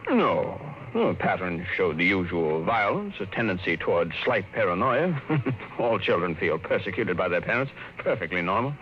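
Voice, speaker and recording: A middle-aged actor playing a calm, blunt family psychologist in a 1950s radio drama, measured and authoritative. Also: male voice, deep voice. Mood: grave. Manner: measured and authoritative, growing urgent. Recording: muffled 1950s broadcast with long reverb.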